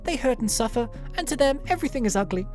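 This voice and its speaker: A solemn, philosophical video game character voiced by a woman muses earnestly in a fairly high voice. The delivery is reflective and halting, with big swings in pitch. Soft music sits underneath. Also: animated, lightly acted. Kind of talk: dramatic monologue reading.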